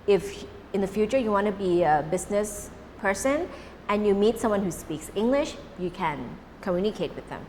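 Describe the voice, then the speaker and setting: A woman's voice: medium pitch, animated, moderate pace, darkish tone. A Thai woman whose first language is Cantonese, answering interview questions earnestly and often restarting her sentences. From Thailand. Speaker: interview guest. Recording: reverberant room with faint noise.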